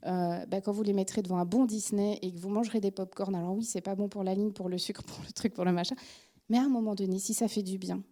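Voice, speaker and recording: A woman in her forties from France, with a French accent touched by Belgian speech, giving a chatty, animated talk on parenting as a psychologist, full of fillers and restarts. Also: mid-range voice, fast. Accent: French with a Belgian tinge. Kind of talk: informal lecture to an audience.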